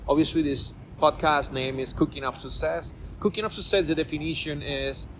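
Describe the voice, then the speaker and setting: A man's voice: fairly high pitch, normal speed, a little hiss or hum. A middle-aged man from Rico, hosting a casual interview podcast and rambling through repeated words and restarts. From Puerto Rico. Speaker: podcast host.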